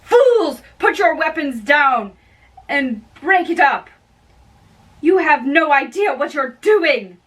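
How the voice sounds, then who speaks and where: A woman giving an amateur dramatic reading of a play, performing all the parts in a theatrical, halting way. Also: sits high, sing-song, a bit bright. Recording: distant microphone.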